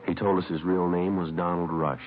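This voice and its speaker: A clipped, matter-of-fact police detective , played by a man with an extremely deep voice. He talks quickly, narrating and questioning in a 1950s radio crime drama. The old recording is dull with a faint hiss. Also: flat delivery, faint music behind, natural voice.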